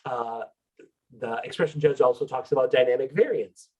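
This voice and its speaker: Husky man explaining singing technique. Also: mid-range voice, normal speed, muffled recording. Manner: explanatory, relaxed with filler words. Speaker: vocal coach presenter. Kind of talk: educational talk on singing technique.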